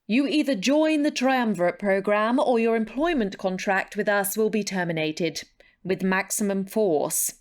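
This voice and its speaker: Woman acting a brisk, unflappable spy chief. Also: medium pitch, lightly acted. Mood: threatening. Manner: formal, dryly authoritative. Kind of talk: sci-fi audio drama dialogue.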